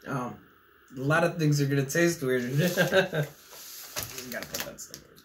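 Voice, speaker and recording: A man's voice: medium pitch, lively intonation, normal speed. A young man hosting a casual snack taste-test, getting over a cold, easygoing and laughing often, recorded at a table in a small room. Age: young adult. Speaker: channel host. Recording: echoey room, faint noise.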